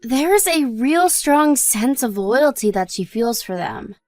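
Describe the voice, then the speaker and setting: A supportive young wife, played by a woman, talks in a high, dry-recorded voice with wide swings of pitch. She is modest and reassuring, chatting in a scripted domestic story drama. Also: animated, slow, darkish tone, no echo, soft background music. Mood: chatty, even-tempered.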